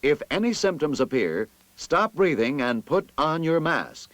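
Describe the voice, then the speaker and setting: An American man reads scripted narration for a vintage army training film in an authoritative, instructive manner with clear enunciation. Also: on the high side, very animated, measured pace, fairly bright tone, dry recording.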